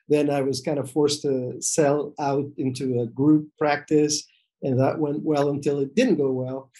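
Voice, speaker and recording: A middle-aged man from El Salvador talks through his career as a doctor. His voice sits a little high for a man, and he stops and restarts his sentences often, with a lot of fillers. The sound comes through a video call. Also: mellow. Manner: hesitant, many fillers and restarts. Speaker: physician guest. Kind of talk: conversational interview answers.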